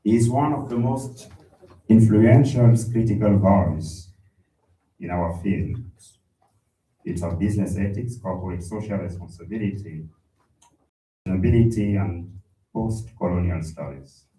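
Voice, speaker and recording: Slow, deep-voiced man in a roomy hall. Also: warm tone. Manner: measured, formal, with hesitant fillers. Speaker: conference chair. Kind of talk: formal speaker introduction. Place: large conference hall. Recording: echoey hall from a distant mic.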